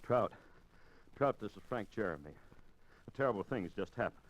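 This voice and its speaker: An actor playing a slick, scheming ex-convict husband with false tenderness, in old-time radio suspense style. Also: male voice, on the low side, lively intonation, fairly quick. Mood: feigned grief. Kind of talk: 1950s radio suspense acting. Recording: muffled old radio recording.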